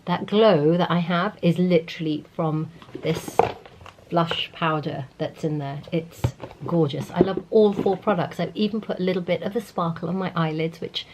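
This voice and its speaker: A woman's voice: on the low side, moderate pace, noisy background. A middle-aged woman vlogging casually about makeup, chatty and enthusiastic, still congested from a cold. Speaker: beauty vlogger. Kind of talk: casual vlog talk to camera.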